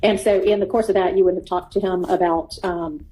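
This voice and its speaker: Female judge, warm dark tone, quick, probing and firm. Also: lowish voice, steady tone, a little hiss or hum, rough recording. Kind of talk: courtroom questioning.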